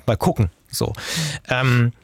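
A man's voice: mid-range voice, fairly even intonation, drawn-out words, no echo, studio quality. A German journalist in his forties from the east of the country, talking thoughtfully as an interview guest in long, restarting sentences. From eastern Germany. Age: 40s. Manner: thoughtful, talks in long chains with restarts and tag questions. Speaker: journalist and podcast maker. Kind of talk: interview guest, reflective conversational talk.

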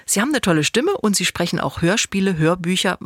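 A woman's voice: fairly low pitch, expressive, fast, no echo, clean studio sound. A woman working as an interviewer, asking questions in a conversational radio style.

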